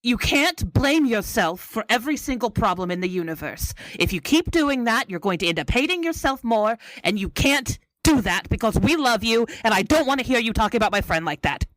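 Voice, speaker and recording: A woman acting as a firm, caring female adventurer in a tabletop game. The voice is fairly high and moves expressively. It scolds and reassures in the same breath, in a very dry recording. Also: expressive, brisk, few pauses, a bit bright, no echo, rough recording. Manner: emphatic, scolding yet reassuring. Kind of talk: in-character improvised tabletop roleplay.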